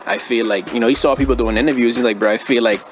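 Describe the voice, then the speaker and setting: A man's voice: mid-range voice, fairly even intonation, fast. A young man with a Bahamian accent chatting casually as an interview guest, in a laid-back, slangy way. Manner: laid-back, slangy, frequently says bro and you know what I mean. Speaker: interview guest, former athlete and brand owner. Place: studio. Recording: music playing behind the voice. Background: music.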